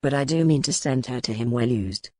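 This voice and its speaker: A man playing a grim, weary, commanding medieval duke in an audiobook, speaking in formal, measured phrases. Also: medium pitch, mellow, no room sound, clean studio sound, close to an everyday voice. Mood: grim, resolute. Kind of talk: dramatized audiobook dialogue.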